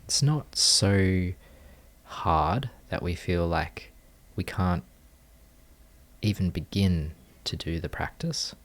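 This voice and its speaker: A man leading a guided meditation, giving gentle, calm instructions in measured phrases with long pauses. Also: low-pitched. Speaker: meditation teacher.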